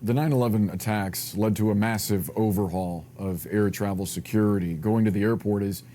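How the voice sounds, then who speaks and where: A man's voice: fairly low pitch, steady tone, brisk, dark tone, a little hiss or hum. A man with an American accent presenting live television news as an anchor.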